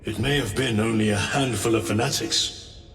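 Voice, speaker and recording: An actor playing an earnest, suspicious space marine sergeant in a video game, with a formal, pointed delivery. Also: male voice, lowish voice, normal speed, close to an everyday voice. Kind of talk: dramatic video game cutscene acting. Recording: echoey hall, distant mic, faint music.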